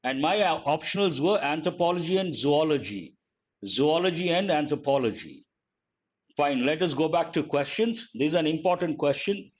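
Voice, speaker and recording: Older man, Indian English accent, fairly high voice, grammar teacher, slow deliberate explanations, muffled lo-fi sound. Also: lively intonation. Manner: addresses students by name, asks and answers his own questions; slow, deliberate, repeats key points. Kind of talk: online grammar lesson. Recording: dull lo-fi audio.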